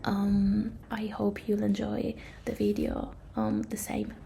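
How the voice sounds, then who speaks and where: A woman's voice: mid-range voice, big pitch swings, slow pace, creaky, dark tone, slight background noise. A woman with an Italian accent, whispering a hesitant, apologetic aside while editing her video. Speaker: video creator.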